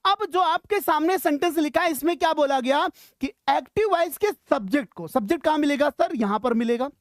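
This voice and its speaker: High-pitched Indian woman teaching. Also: animated, brisk, no room sound, clean studio sound. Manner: chatty, encouraging, checks understanding often. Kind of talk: informal grammar lesson mixing Hindi and English.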